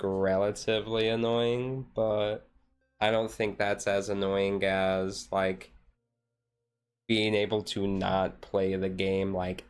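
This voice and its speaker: A man streamer chatting casually in a relaxed, rambling way on a chill hobby stream. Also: low voice, clear, resonant tone.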